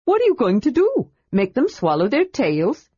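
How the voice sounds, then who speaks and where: A woman's voice: fairly high pitch, wildly swooping pitch, normal speed, warm tone, husky, no room sound, muffled recording, voice pushed up, exaggerated voice. A woman voicing a small, cheerful and curious kender who rambles eagerly.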